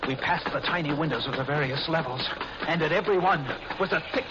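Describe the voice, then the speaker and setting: An actor playing a haunted lighthouse keeper who narrates a horror tale intensely and dramatically in old-time radio style. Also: male voice, fairly high pitch, fast, natural voice. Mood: intense dread. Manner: intense, dramatic, repeats phrases for suspense. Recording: very noisy, muffled vintage radio recording.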